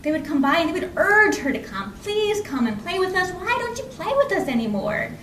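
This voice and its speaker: A woman telling a folk tale live in an expressive, conversational way, lingering on repeated phrases. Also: extremely high pitch, brisk. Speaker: storyteller. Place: indoor library program before an audience. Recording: echoey room from far off, faint noise.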